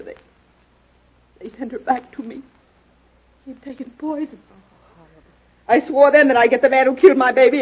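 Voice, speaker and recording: High, melodramatic, distraught mother played by an actress. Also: lightly acted. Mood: vengeful, impassioned. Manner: wailing, melodramatic. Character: hysterical widowed mother, distraught and vengeful. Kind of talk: radio detective drama acting. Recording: dull, lo-fi radio drama recording.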